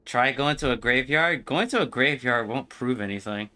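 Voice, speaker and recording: A man's voice: mid-range voice, expressive, moderate pace, bright, forceful tone. A man chatting casually as a game streamer, slangy and argumentative. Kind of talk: casual livestream chat.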